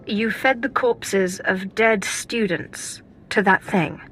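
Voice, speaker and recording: A bright, calculating Sith acolyte. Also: female voice, mid-range voice, takes it slowly, faint music behind, low-quality recording. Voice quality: cool, calculating. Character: cold, ambitious female Sith acolyte. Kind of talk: video game voice acting.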